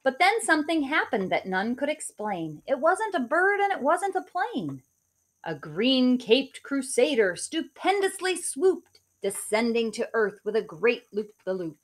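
A woman in her forties, a teacher, reading a rhyming picture book aloud in a sing-song, expressive way at home into a webcam. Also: high-pitched, measured pace. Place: home living room.

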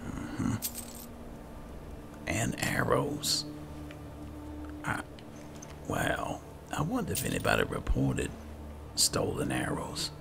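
A very low-voiced man with heavy fry talks slowly through a casual game roleplay, musing aloud to himself. His pitch swings widely as he goes. There is clear game noise behind him. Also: huge pitch swings. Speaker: gaming host doing roleplay playthrough.

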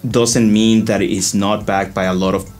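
Male executive as interview guest, lower pitch, conversational, thinks aloud with repeated words. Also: level tone, normal speed, a little mellow, soft background music. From Guatemala. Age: 30s to 40s. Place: indoor studio-style office set.

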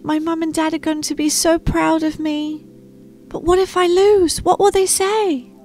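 A woman's voice: very high voice, level tone, unhurried, darkish tone, voice pushed up. A woman voicing an anxious, eager young boy ninja from a storybook, in an exclaiming, self-questioning manner. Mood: proud.

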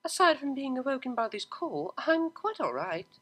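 A prim young mare cellist with a high, lively voice that leaps around in pitch, put on by a woman reading a storybook. She speaks in formal, precise phrasing, proper and a little fussy. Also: normal speed, slightly rough, full character voice. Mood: wry.

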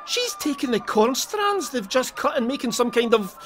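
Scottish police constable, sceptical sidekick, grumbling, colloquial, animated intonation, audio drama over music. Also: male voice, very high voice, moderate pace, exaggerated voice. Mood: curious. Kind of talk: full-cast audio drama acting.

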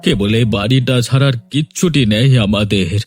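A man's voice: mid-range voice, moderate pace, husky, no room sound, soft background music, natural voice. A man playing an ailing, despairing master of a ruined household in a cartoon melodrama, speaking in a defeated, mournful way. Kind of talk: melodramatic cartoon fairy-tale dialogue.

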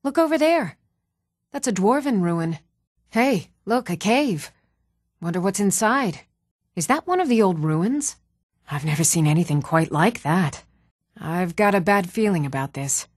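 A woman's voice: mid-range voice, expressive, unhurried, darkish tone, no room sound, studio quality. A woman playing a seasoned, plucky former adventurer in a fantasy video game, frank and eager. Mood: eager, nostalgic.

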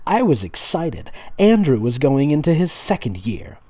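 A man's voice: a little high, very animated, normal speed, mellow. A man doing a casual comedic read-aloud, breaking off into sarcastic, mocking asides as host. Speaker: host reading and mocking fan fiction.

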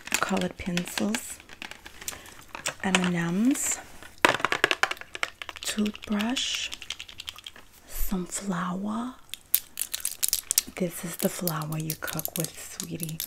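A woman's voice: fairly low pitch, exaggerated voice. Whispering sassy prison diva played by a woman. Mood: confiding. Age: young adult. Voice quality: breathy whisper. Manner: sassy, streetwise slang, drawn-out terms of endearment. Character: sassy female prison inmate diva, comforting bunkmate. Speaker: ASMR creator acting a roleplay. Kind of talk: whispered ASMR roleplay. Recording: close mic with clear background noise.

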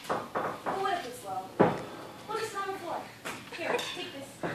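A high-pitched young actress playing an imperious woman boss in a stage comedy, chatty yet commanding. She declaims from far off in a very echoey theatre, with loud noise in the recording. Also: very animated, measured pace, heavily acted. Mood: breezy, bossy. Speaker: stage actor. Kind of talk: live stage comedy acting. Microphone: far camera. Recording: distant, very echoey, noisy auditorium recording.

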